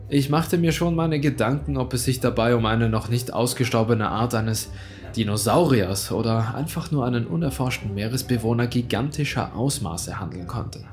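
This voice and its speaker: A man narrates a horror tale. His voice sits at a medium pitch, and he tells the story in a suspenseful, dramatic way. Music plays behind him. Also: a little mellow. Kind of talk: dramatic scripted creepypasta narration. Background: background music.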